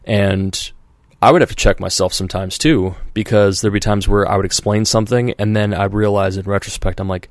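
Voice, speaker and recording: Low-pitched guy rambling on a podcast, lots of likes and you knows, does little voices for quotes, dry sound. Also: steady tone, fairly quick, hardly any pauses, no echo. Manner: rambling, many fillers and restarts, acts out quoted voices. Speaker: podcast host. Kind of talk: casual chat.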